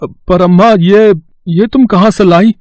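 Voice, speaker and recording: Cartoon village vegetable seller, played by a man: high male voice, poor and kind-hearted, plaintive, earnest, dry recording. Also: steady tone, a little slow, darkish tone, no echo. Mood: grateful.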